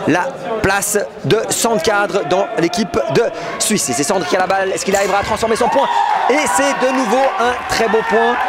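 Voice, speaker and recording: A man giving live play-by-play commentary at a match, hesitant with fillers and rising to shouts on scoring plays. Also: high-pitched. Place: indoor sports hall at a match. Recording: loud crowd and heavy noise around. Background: crowd and bouncing balls.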